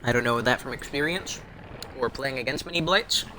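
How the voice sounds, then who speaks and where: A man's voice: mid-range voice, fairly quick, bright, forceful tone, a little hiss or hum, rough recording. A young man chatting casually over his own gameplay, rambling and wry, poking fun at himself and at other players. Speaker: game streamer.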